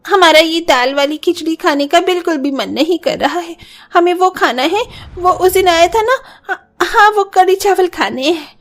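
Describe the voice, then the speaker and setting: A woman's voice: very high-pitched, normal speed. A woman voicing a hungry, pleading small child in a cartoon story, childlike and plaintive. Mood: whining, sulky.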